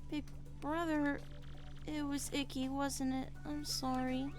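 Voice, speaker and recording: A woman's voice: sits high, flat delivery, takes it slowly, a little mellow, pitched above the performer's own voice. Woman doing a sweet tiny creature sister. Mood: apologetic. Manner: sweet, pleading, childlike. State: hoarse. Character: timid little creature girl adoring her big brother.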